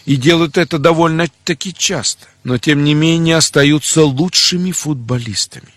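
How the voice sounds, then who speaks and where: A man's voice: a little high, moderate pace, a bit bright, dry recording. A middle-aged man preaching in a didactic, structured way, often putting rhetorical questions to his listeners. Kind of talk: sermon on family life, scripted teaching.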